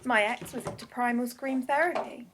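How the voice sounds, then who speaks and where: A woman's voice: on the high side, steady tone, normal speed, background noise, natural voice. An actress playing a teasing, flirtatious woman who is insecure and wounded underneath, in a radio drama. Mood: flirty, teasing. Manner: teasing, confessional.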